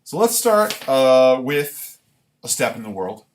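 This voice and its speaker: Male live reader of his own work, medium pitch, unhurried and halting, casual and deliberate. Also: very animated, a little hiss or hum. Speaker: writer reading own work; former military recruit. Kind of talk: live reading of own writing with casual commentary.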